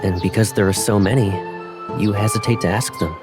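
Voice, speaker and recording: A man playing a tender, teasing young college graduate in a romance game fan dub, speaking softly and gently. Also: fairly low pitch, fairly even intonation, brisk, natural voice. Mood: tender, resolute. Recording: background music, echoey hall on speech.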